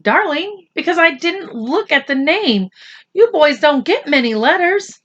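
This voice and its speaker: A woman voicing an excitable, gushing mother in a children's story, emphatic and theatrical. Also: high-pitched, a little slow, lo-fi sound, voice pushed up. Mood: flustered.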